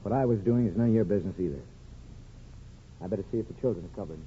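A man's voice: fairly low pitch, racing, warm tone, a little hiss or hum, close to an everyday voice. An actor playing a weary, plain-spoken small-town barber and father, rueful and wry, acting and narrating in a 1950s radio drama. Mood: curt, subdued. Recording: phone-like old radio broadcast with music.

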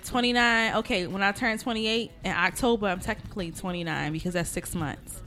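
A woman's voice: mid-range voice, brisk, pushed and bright, soft background music. A woman in her twenties hosting a podcast, talking in brash, profane, joking casual chat. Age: 20s. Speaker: podcast host.

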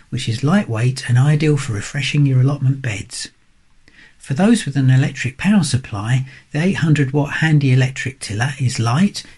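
A man's voice: mid-range voice, a little mellow, breathy edge. A man reading a scripted podcast sponsor advertisement. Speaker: sponsor message reader.

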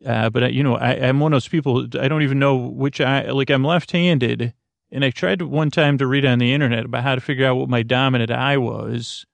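A man with a creaky, gravelly voice wanders through a sleepy late-night monologue, stopping, restarting and trailing off as he tries to put listeners at ease. His pitch sits in an ordinary middle range. He is recorded close up in a dry space with no room sound. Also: fairly even intonation, no room sound. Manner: meandering, halting, many restarts and fillers; drowsy, soothing delivery. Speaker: sleep podcast host.

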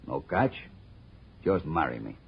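A man's voice: extremely low pitch, very animated, a little slow, creaky, husky, heavily acted. An actor playing a fat, menacing loan shark in 1940s radio crime style, with oily broken tough-guy grammar. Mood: wheedling. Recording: muffled old-time radio recording.

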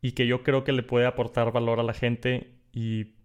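A man's voice: mid-range voice, flat delivery, moderate pace, smooth. A man with a northern Mexican accent hosting a podcast, chatting casually and often repeating words as he restarts. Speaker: podcast host.

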